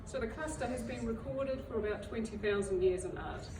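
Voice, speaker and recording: A woman's voice: a little high, a little fast. Woman, chatty and self-deprecating, speaking at a library talk, miked from a distance in a noisy room. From New Zealand. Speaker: library director giving a talk. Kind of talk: public talk to an audience. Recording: roomy, far from the mic, noisy.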